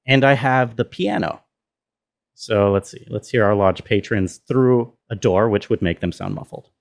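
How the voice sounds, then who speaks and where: A man giving a casual tutorial walkthrough as a sound designer, thinking aloud in a halting way. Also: lowish voice, animated, creaky.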